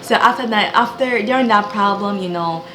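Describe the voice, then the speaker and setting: A woman's voice: mid-range voice, normal speed, a bit bright. Earnest Ghanaian-accented young woman. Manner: earnest, encouraging. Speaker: vlogger giving a testimony. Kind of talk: personal faith testimony. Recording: echoey room with background music.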